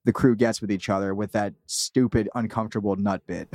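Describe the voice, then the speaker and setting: A man's voice: on the low side, steady tone, brisk, darkish tone, no room sound. Casual man in a dry-sounding interview. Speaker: podcast creator being interviewed.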